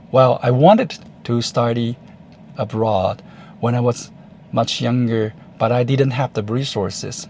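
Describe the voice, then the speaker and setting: Man, Taiwanese accent, native Chinese speaker, unhurried deliberate delivery, faint background hiss. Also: medium pitch, a little mellow. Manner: measured, unhurried, with frequent pauses and fillers. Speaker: YouTuber. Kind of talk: casual talk to camera.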